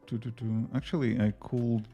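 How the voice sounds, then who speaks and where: A man's voice: medium pitch, little pitch movement, moderate pace, dark tone, faint music behind. A man with a Latvian accent, a native Latvian speaker, chatting casually on a live coding stream, answering viewer questions between tasks with many fillers. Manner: rambling, answers chat questions while working, many fillers. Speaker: livestreaming app developer.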